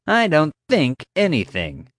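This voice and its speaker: Man voicing a bossy woman guard, high shouting voice, barking orders, dry. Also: huge pitch swings, unhurried, dry recording, exaggerated voice. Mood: angry, bossy. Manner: barking, growling commands. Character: bossy loud adult woman security guard.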